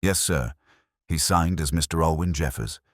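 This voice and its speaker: A man's voice: rumbling low, moderate pace, dry recording, clean studio sound. A man voicing a nervous, earnest lodger who speaks respectfully and uneasily in an audiobook story. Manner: hushed, urgent, respectful. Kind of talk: audiobook detective story dialogue.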